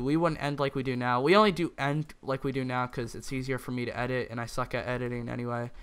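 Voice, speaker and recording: A young man with a croaky voice, co-hosting a gaming video and rambling in casual chat with lots of "like" fillers. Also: mid-range voice, a bit bright. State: croaky, throat-clearing. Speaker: gaming channel co-host. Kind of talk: casual chat over gameplay.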